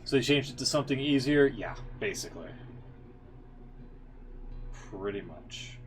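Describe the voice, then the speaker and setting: A man's voice: medium pitch, expressive, measured pace, a little hiss or hum, low-quality recording. A man giving casual livestream game commentary, thinking aloud in an informal way with muttered asides. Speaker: livestream host, gamer. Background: background music.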